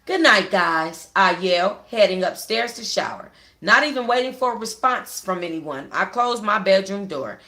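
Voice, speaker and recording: A woman's voice: a little high, a bit bright. Woman reading a story in an echoey room. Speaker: book club reader. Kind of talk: audiobook-style first-person narration.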